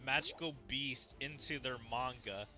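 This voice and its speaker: A man doing casual gaming commentary as a streamer, with an exasperated, animated delivery over game music. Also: medium pitch, a little slow. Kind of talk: casual game playthrough, reading dialogue aloud.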